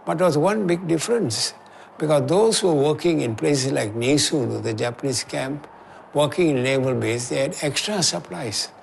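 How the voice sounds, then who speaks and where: A man's voice: fairly high pitch, normal speed, gravelly, a little hiss or hum. A man in his eighties recalling wartime hardship in a matter-of-fact documentary interview. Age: 80s.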